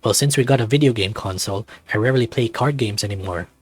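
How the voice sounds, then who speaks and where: Visual novel son, male performer: young adult, sarcastic gamer, needling banter, soft music bed. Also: fairly low pitch, fast talker, husky, dry recording. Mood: explaining, casual.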